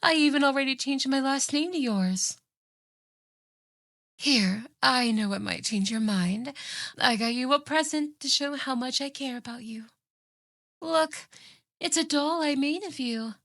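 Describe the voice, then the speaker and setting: Obsessive anime fox girl, played by a woman: high-ish, dry close sound, coaxing, boastful, theatrically devoted, ASMR roleplay. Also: a little slow, long pauses, fairly bright tone, no room sound. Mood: pleading, possessive. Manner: doting, smug, coaxing, theatrical.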